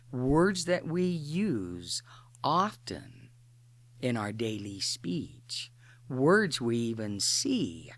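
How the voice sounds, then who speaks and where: A guided imagery narrator speaking a slow meditation script in short, deliberate phrases with pauses between them. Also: mid-range voice, dry recording.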